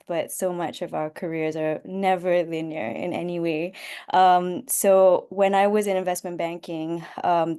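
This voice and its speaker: A woman from Malaysia, a panel speaker on a video call, telling her career story in a reflective, measured way with frequent ums. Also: fairly low pitch, darkish tone.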